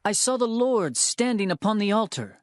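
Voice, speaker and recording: A man narrating dramatized scripture in a solemn, declamatory way with measured phrasing. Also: sits high, big pitch swings. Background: music.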